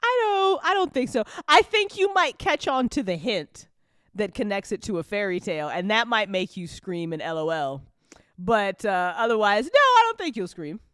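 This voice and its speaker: A woman with a clean, smooth voice chats informally as a host, recorded close and very dry. Her talk rambles along in a loose, giggly way, with the easy language of a casual hangout. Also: mid-range voice, sing-song, normal speed, a bit bright, no room sound. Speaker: audio drama creator hosting a live after-show chat. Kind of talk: casual chat.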